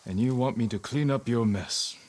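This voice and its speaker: An actor playing a stern, honorable samurai lord in a video game cutscene, terse, grave and weary. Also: male voice, mid-range voice, moderate pace, darkish tone, natural voice. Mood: grave, firm. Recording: music behind the voice.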